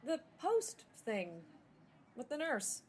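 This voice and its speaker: A woman's voice: high voice, very animated, takes it slowly, a little mellow, a little hiss or hum, full character voice. A woman playing a wary, troubled young patient in a radio drama, guarded and doubting. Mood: evasive. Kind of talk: modern radio drama acting.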